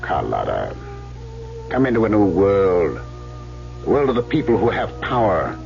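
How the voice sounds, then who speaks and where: An actor playing a cool, ruthless gangster in an old-time radio drama, speaking persuasively and commandingly. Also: male voice, on the low side, very animated, unhurried, creaky, darkish tone, faint music behind, heavily acted. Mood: coaxing, ruthless. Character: notorious male gangster in hiding, cool, coaxing, ruthless. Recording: muffled old radio recording.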